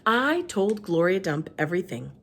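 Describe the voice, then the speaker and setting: Lively woman reading a storybook. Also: normal speed, a little hiss or hum. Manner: expressive, lively pitch. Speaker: teacher reading aloud. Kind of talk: storybook read-aloud narration.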